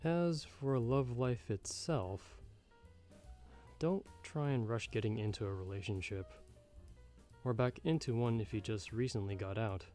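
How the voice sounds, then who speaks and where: Casting: male actor as a jaded, sardonic bartender for ASMR roleplay; dark mellow tone, mid pitch, measured and wry, music behind. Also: close to an everyday voice. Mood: earnest, measured. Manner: measured, wry, slightly formal and old-fashioned phrasing. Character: jaded, sardonic male bartender giving frank, fatherly advice. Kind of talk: ASMR roleplay, scripted monologue to the listener. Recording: background music under the voice.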